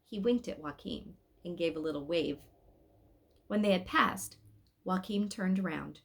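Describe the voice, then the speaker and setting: A woman's voice: lowish voice, a little slow, gravelly, slightly rough. A woman hosting a read-aloud, narrating a storybook expressively with casual asides. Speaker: storybook reader, host.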